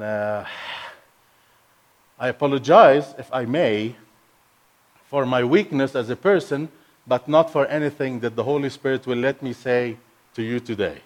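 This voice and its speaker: A man from Egypt, a native Arabic speaker with an Egyptian accent, preaching as a guest speaker in an energetic, playful way with bursts of emphasis. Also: medium pitch. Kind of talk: sermon.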